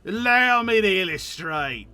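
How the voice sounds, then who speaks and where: A man's voice: high voice, lively intonation, a little slow, bright, forceful tone, clear, resonant tone, full character voice. A man voicing a flamboyant, cheerful poetry enthusiast in theatrical, florid fashion, reading game dialogue aloud. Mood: cheerfully grandiose. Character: flamboyant, cheerful poetry lover pushing a shy friend.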